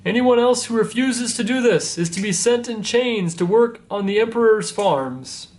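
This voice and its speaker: A man voicing a Roman messenger in a storybook, loudly and slowly proclaiming a stern imperial decree. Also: high voice, animated, pitched above the performer's own voice. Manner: loud, slow, declamatory public proclamation. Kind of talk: storybook read-aloud.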